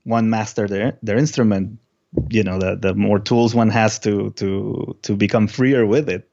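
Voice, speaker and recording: A male musician from Peru who grew up speaking recounts things in a relaxed interview. His voice sits on the low side and he feels his way through sentences haltingly. The sound is a little dull and lo-fi. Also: gravelly. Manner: halting, thinking aloud. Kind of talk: casual interview storytelling.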